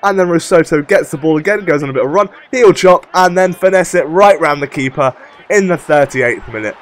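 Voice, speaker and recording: A young man hosting casual game commentary, his voice croaky and rough from a sore throat, chatty and upbeat. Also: a little high, a little fast. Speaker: gaming channel host.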